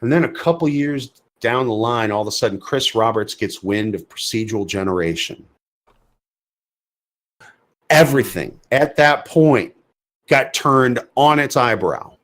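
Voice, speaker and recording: A man's voice: mid-range voice, expressive, a little slow, fairly bright tone. Blunt, emphatic podcast co-host man. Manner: emphatic, repetitive, blunt opinions. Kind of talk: casual podcast chat.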